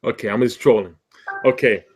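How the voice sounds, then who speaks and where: A man's voice: mid-range voice, fairly quick, slightly rough. A man co-hosting a sports talk show, joking and stammering through casual banter. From half Spanish, Puerto Rican. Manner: animated, stammering, jokey. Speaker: podcast co-host.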